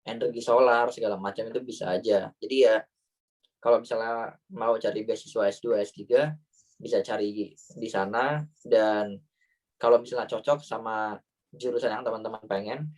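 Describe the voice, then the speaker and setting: A man's voice: fairly low pitch, fairly even intonation, normal speed, pauses often, darkish tone, distant mic, low-quality recording. A man in his thirties from Indonesia with a Banyumas Ngapak accent, chatting casually as a talk show guest and using many fillers. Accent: Banyumas (Ngapak) Javanese-Indonesian. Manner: casual, with frequent fillers and "wallahu alam". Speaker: guest answering viewer questions. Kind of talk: relaxed talk show chat.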